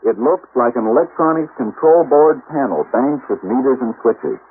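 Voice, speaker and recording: A man's voice: medium pitch, expressive. Tinny old-radio American male announcer. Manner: measured, formal. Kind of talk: scripted public service narration. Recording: tinny old radio recording, faint noise.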